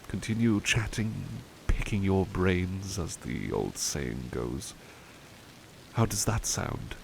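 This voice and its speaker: A man playing a devoted, polite butler who paints, chatting softly and hesitantly to the listener in an ASMR roleplay. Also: low voice, creaky, slight background noise, exaggerated voice, poor audio. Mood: tender. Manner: hesitant, courteous, old-fashioned wording, gentle. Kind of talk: ASMR roleplay, soft intimate chat to listener.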